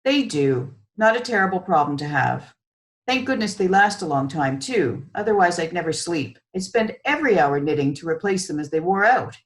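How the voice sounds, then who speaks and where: A woman reading the part of a busy, kindly grandmother in a storybook, wry and gently self-deprecating. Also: lowish voice, animated, moderate pace, natural voice. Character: busy, kindly grandmother who knits socks.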